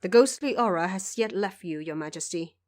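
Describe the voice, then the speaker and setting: A woman's voice: fairly low pitch, moderate pace, darkish tone, lightly acted. Woman playing a self-assured prime minister. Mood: reassuring. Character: calm, self-assured male prime minister, loyal scholar-official. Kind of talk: audio drama character voice.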